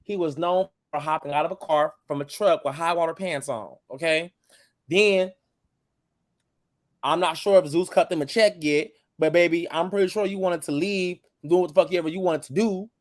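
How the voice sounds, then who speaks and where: A man in his twenties who grew up in the United States, a show guest talking in casual chat, blunt and calm while he cusses. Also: a little high, normal speed, dry recording, clean studio sound. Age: 20s.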